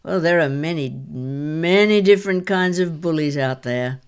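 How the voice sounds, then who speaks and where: An actress playing a wry, shrewd elderly mother and grandmother in an audio drama, speaking in a measured, reflective way. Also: low voice, clear, resonant tone. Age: older.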